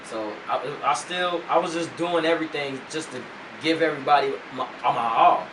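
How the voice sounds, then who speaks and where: A young male rapper chats easily and loosely, filling his talk with slang. He is picked up from some way off in an echoey room, and a steady hum sits under his voice. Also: a little high, normal speed. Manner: laid-back, loose slang with "man" fillers. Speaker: rapper guest. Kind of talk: casual interview chat. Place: radio studio. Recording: roomy, distant mic, noisy hum.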